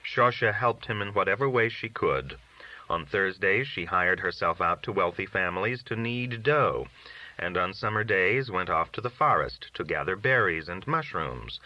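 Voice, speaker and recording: A man reading literary short stories aloud as scripted narration. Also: fairly low pitch. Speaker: audiobook-style reader of short stories. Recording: dull, lo-fi recording.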